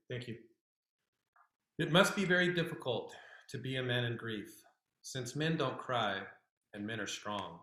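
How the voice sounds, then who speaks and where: Guy reading a poem out loud on a stream, bright voice, echoey room. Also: medium pitch. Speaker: poem reader. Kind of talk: poetry reading.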